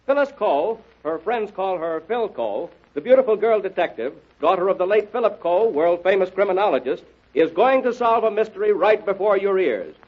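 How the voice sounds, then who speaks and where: High-pitched excitable old-time radio announcer. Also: male voice, moderate pace, hardly any pauses. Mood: excited. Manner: excited, punchy sales pitch. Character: excitable male radio mystery contest announcer. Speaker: announcer and narrator. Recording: dull old radio recording, music behind.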